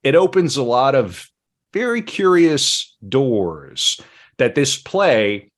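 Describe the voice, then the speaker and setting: A man hosting a podcast in casual talk, with a wry, joking manner and halting pauses. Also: mid-range voice, big pitch swings. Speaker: podcast host.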